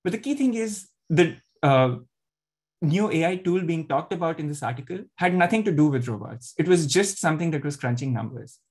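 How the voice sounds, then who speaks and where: A man's voice: a little high, animated, a little fast, darkish tone. A man giving an academic talk over a video call, explaining with frequent fillers and restarts. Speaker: panel presenter. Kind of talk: academic presentation.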